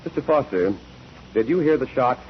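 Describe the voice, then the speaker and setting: A man's voice: mid-range voice, animated, normal speed, natural voice. An actor playing a methodical male courtroom examiner in 1940s radio style, questioning in a formal, probing way. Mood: brisk, methodical. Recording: dull, noisy 1940s radio recording.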